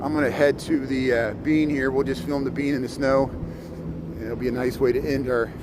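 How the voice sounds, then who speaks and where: A man's voice: medium pitch, steady tone, moderate pace. A middle-aged man from Indiana with a mixed Chicago-Midwestern accent, chatting casually with his viewers while walking city streets on a live stream, often repeating words. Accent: Chicago-Midwestern mix. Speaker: livestream host. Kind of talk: live walking tour chat. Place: outdoors on snowy city streets. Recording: street noise behind. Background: traffic and city noise.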